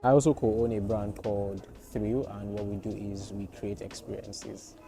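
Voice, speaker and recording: A man with a soft voice, chatting playfully as a guest about his work. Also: on the low side, level tone, moderate pace, creaky. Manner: playful, joking storyteller. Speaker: guest content creator. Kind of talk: casual interview chat. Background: background music.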